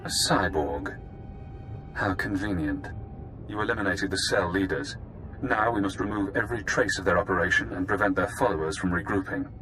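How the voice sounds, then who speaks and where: A calm intelligence technician from a video game. His voice sits very low, and he lays out each point with clinical, detached precision. A faint noise runs underneath. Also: normal speed. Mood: cool, calculating. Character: calm, clinical male intelligence handler and tech expert. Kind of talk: scripted game cutscene acting.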